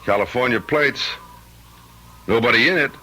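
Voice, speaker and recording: A man acting in an old-time radio drama as a young, earnest, curious rookie highway cop, a bit hesitant and inquisitive. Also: moderate pace, pushed and bright, natural voice. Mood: alert. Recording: noisy old radio drama recording, vehicle sounds.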